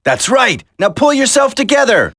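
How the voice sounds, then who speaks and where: Game hero: determined young agent, dramatic, lively intonation, unhurried delivery, close dry sound. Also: male voice, very high voice, dry recording, full character voice. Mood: firm, earnest. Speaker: voice actor in a video game. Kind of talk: video game dialogue acting.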